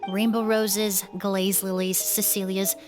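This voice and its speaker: An actress voicing a composed young woman perfumer in a video game, gentle, principled and measured. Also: medium pitch, little pitch movement, over music, lightly acted. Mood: calm, explanatory. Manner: measured, articulate, polite. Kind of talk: scripted video game voice acting.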